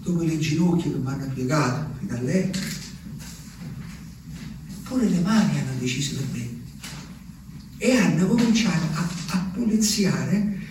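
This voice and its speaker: An actor playing an anguished, simple Italian peasant man, telling his memories in a halting, urgent stage monologue. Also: a little high, animated. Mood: tender, halting. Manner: halting, repetitive, urgent storytelling. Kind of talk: theatrical monologue. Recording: echoey room, distant mic, faint noise.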